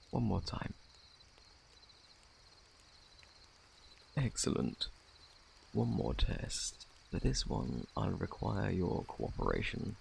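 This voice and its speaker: Deep, creaky British plague doctor played by a man. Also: slight background noise, exaggerated voice. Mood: calm, instructive. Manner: formal, gentle, reassuring, old-fashioned wording. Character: courteous, scholarly male plague doctor examining a patient. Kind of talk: ASMR roleplay, soft-spoken. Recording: faint night insect ambience.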